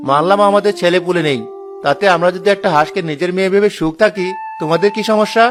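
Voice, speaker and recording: A put-upon cartoon village husband, voiced by a man, with a fairly high voice. He sounds plaintive and expressive, over faint background music. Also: fast, close to an everyday voice. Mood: indignant. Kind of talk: Bengali cartoon story dialogue.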